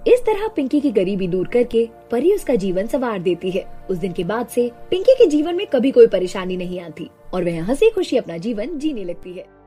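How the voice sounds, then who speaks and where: A woman's voice: on the high side, big pitch swings, moderate pace, warm tone, faint music behind. A woman reading scripted narration for a cartoon story.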